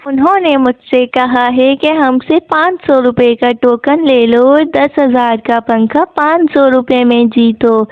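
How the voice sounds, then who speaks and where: A woman voicing a gentle, hopeful village housewife in a story, polite and homely in manner. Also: sits high, a little slow, few pauses, no echo, narrow, tinny audio. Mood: hopeful, excited.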